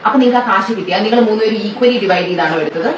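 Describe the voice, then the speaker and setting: A woman's voice: mid-range voice, racing, roomy sound, recorded from a distance, crowd noise, rough recording. A woman asking pressing questions, as in an interrogation. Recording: clip with loud background voices.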